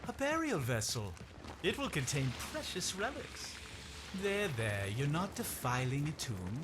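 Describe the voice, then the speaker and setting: An actor playing a haughty, greedy relic dealer in a video game, speaking in a pompous, theatrical, disdainful way. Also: male voice, sing-song, a little slow. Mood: eager fascination. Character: haughty, greedy male relic dealer scornful of local culture. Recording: noisy game sound, loud rumbling ambience.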